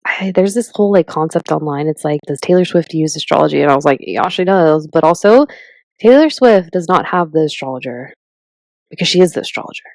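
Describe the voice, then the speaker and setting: A woman in her thirties co-hosting a podcast in casual chat, animated and chatty, with lots of "like" and "literally". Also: low-pitched, brisk, no room sound. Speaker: podcast co-host.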